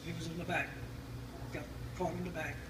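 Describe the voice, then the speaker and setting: An older man telling family stories informally, in a folksy, halting, reminiscing way. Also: on the high side, flat delivery. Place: family gathering, recorded from a distance. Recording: roomy, distant mic, loud background noise.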